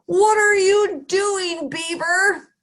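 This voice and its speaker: A woman voicing a gentle, tree-loving storybook bear, with slow and emphatic delivery. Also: very high-pitched, fairly even intonation, voice pushed up, heavily acted. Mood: horrified. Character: indignant, alarmed bear, a gentle tree lover.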